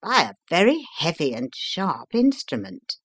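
A woman's voice: deep voice, wildly swooping pitch, a little slow, fairly bright tone, slightly rough, exaggerated voice. A woman voicing a courteous male doctor in a storybook, concerned, firm and professional. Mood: courteous, measured.

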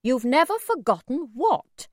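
A woman puts on a haughty storybook mother, stern and suspicious. She speaks curtly and imperiously, with sharp, animated swings of pitch and a measured pace. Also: dry recording, studio quality, full character voice. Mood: stern, impatient.

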